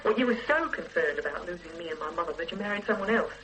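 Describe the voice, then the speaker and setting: A woman's voice: fairly low pitch, animated, fast, noisy background, natural voice. A woman playing a guarded, bitter grown daughter in a radio drama, speaking in a cool, clipped, reproachful way.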